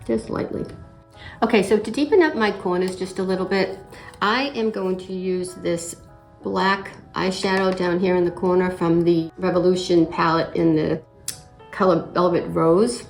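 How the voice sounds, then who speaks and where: A middle-aged woman narrates a makeup tutorial while fighting a slight cold. Her voice sits low and sounds a little raspy and gravelly. She explains each step in an easy, chatty way, with faint music playing underneath. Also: normal speed. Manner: relaxed step-by-step explaining with frequent "uh" and throat clearing. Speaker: makeup vlogger.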